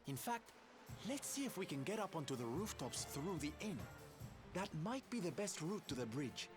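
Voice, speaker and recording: A man's voice: sits high, big pitch swings, moderate pace, music in the background. Flamboyant, swooping, theatrical male adventurer. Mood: brisk, rallying. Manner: camp, effusive, calls people darling and sweetie. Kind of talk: video game voice acting.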